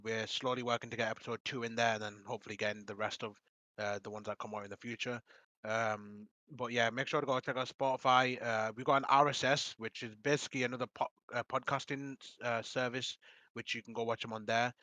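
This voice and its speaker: A young man rambles through a casual podcast chat, laughing often and repeating his plugs. His voice is bright and forceful, and it sounds strained as he loses it. The sound is close and dry. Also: mid-range voice, little pitch movement, fairly quick, no room sound, muffled recording. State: losing his voice. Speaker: podcast host.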